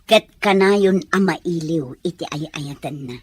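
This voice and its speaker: A woman playing an inquisitive, advising mother with a husky voice and a Filipino accent in an audio drama. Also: on the low side, takes it slowly. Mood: probing. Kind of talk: Ilocano audio drama acting.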